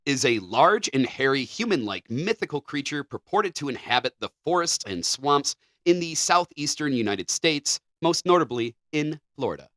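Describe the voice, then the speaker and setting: A man co-hosting a podcast, chatting casually and reading passages aloud in a measured, even delivery. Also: fairly high pitch, no room sound, studio quality. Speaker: podcast co-host.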